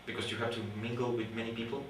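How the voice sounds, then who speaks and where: Guatemalan man speaking halting English. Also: medium pitch, flat delivery. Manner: halting, many fillers and restarts, thoughtful. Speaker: PhD student in astronomy. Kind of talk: interview, explaining his research. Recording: roomy, distant mic with faint background noise.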